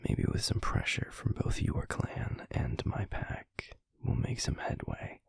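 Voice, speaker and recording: A man playing a grave, protective werewolf pack alpha in an audio drama, with a husky, gravelly voice and measured, formal speech. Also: no echo, in a whisper, clean studio sound, close to an everyday voice. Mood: grim, formal. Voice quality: gravelly, husky, breathy low voice. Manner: measured, deliberate, formal, hushed. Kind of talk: audio roleplay drama acting.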